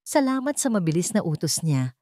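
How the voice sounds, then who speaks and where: Filipino woman narrating, low-pitched and dry. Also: big pitch swings, brisk, dark tone, no echo. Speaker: storyteller. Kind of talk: scripted dramatic story narration.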